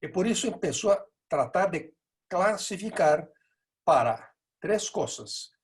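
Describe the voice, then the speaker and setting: A middle-aged man, a native Portuguese speaker with a Buenos Aires tinge in his Spanish, gives a measured conference lecture with frequent hesitations. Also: high-pitched, lively intonation, breathy edge. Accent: Portuguese-accented Spanish with a Buenos Aires lilt. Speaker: neurosurgery lecturer.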